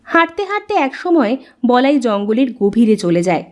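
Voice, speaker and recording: High-voiced woman narrating a story. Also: sing-song, normal speed. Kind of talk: scripted cartoon story narration.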